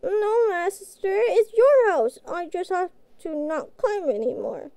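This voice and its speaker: A woman playing a childlike, guilt-ridden catgirl pet in a fantasy audio roleplay, speaking in halting, pleading, naive phrases. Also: very high-pitched, very animated, dark tone, no echo, heavily acted. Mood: sheepish, softening.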